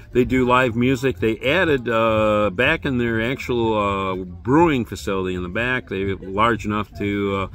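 Older man vlogging outdoors, rambling. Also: lowish voice. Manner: relaxed, rambling, many fillers. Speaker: beer review vlogger. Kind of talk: casual vlog walk-through. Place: outdoor brewery beer gardens. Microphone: phone camera at arm's length.

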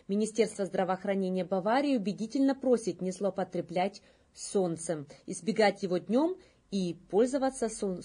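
Woman, thirties, news presenter, mellow tone, slightly husky, mid pitch, scripted reading.

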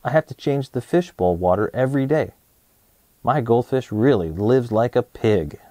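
A man in his thirties presenting and reading a children's storybook aloud in an expressive way, speaking to young listeners. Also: medium pitch, normal speed, dry recording. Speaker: host of a children's read-aloud show.

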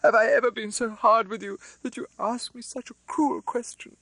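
A man reading an audiobook, voicing a loving, tearful middle-aged Englishwoman with high crying outbursts. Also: a little slow, husky, no echo.

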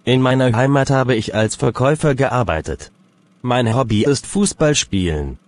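A man's voice: mid-range voice, animated, normal speed, no echo, lightly acted. A man voicing an exam candidate in his late twenties, introducing himself in plain, simple sentences. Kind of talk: scripted role-play dialogue.

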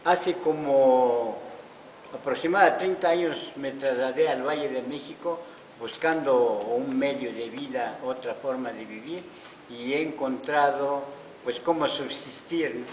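A man's voice: mid-range voice, measured pace. An older man from Oaxaca whose first language is Zapotec, telling his story modestly in an interview, speaking into a handheld mic in an indoor hallway. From Oaxaca, Mexico. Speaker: interviewee, artisan promoter. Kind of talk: interview answers. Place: wood-paneled auditorium hallway, indoors. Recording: echoey hall, dull lo-fi sound with hiss.

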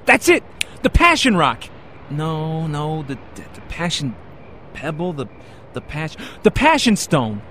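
A man's voice: fairly high pitch, very animated, measured pace, bright, forceful tone, a little hiss or hum, heavily acted. An actor playing a blunt, flustered everyman dragged into a fantasy audio drama. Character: brash, exasperated everyman pulled into fantasy realm.

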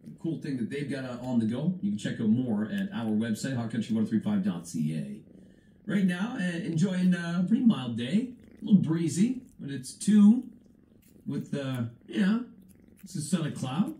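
A man's voice: mid-range voice, sing-song, moderate pace, dark tone. A man with a Canadian accent chatting as a country radio host, heard from a radio playing in a room. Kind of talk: chatty radio presenting and interview. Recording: radio broadcast replayed in echoey room.

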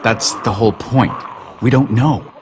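An actor playing an easygoing, wisecracking survivor in a zombie video game, casual and flippant. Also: male voice, medium pitch, measured pace, slight background noise, lightly acted. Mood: uneasy.

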